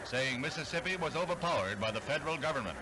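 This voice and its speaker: A man announcing an old newsreel in a brisk, formal style. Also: fairly high pitch, lively intonation, heavy background noise. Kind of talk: vintage newsreel narration. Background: music.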